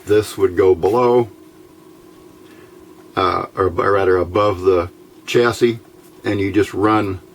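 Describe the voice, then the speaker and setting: Low-voiced man, noisy recording. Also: fairly even intonation. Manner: halting, plain-spoken, explaining step by step. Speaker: host of a DIY electronics tutorial channel. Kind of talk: informal unscripted hands-on tutorial talk. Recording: clearly noisy home recording.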